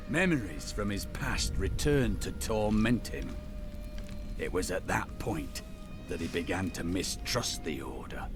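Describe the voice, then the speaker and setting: A man voicing a devout, resolute holy warrior in a fantasy video game, speaking in an earnest, measured, formal way. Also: fairly low pitch. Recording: music behind the voice.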